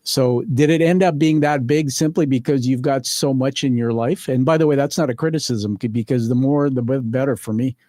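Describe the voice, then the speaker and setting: A male podcast host talking conversationally with a guest. He is effusive and encouraging, and wanders into asides as he goes. The sound is dry, with very little room on it, and his pitch is average for a man. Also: fairly quick, few pauses, dark tone, no echo. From born on a military base in the UK. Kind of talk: conversational interviewing.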